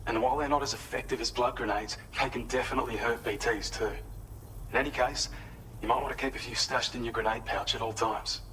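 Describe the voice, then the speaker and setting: A man acts an earnest, helpful facility researcher in a video game. His voice is bright and slightly low, and he explains things in a polite, measured way over faint ambient noise. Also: steady tone, close to an everyday voice, poor audio. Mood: earnest, businesslike. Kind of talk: scripted game briefing.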